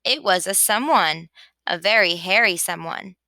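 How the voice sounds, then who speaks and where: Woman, storybook narrator, expressive, unhurried pace, highish bright voice, dry recording. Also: gravelly, no room sound. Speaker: storybook reader. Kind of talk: expressive children's read-aloud narration.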